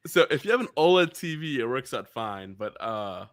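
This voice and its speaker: A man's voice: medium pitch, very animated, normal speed, fairly bright tone, no echo, studio quality. A man co-hosting a podcast in easygoing, chatty conversation who laughs often. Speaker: podcast co-host. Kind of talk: casual podcast chat.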